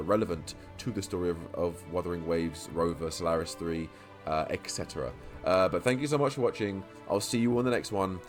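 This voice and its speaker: Rambling, stuttering man in a lore vlog. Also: low-pitched. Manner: rambling, repeats and restarts words; talks quickly. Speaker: YouTube lore creator. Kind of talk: casual game-lore vlog commentary.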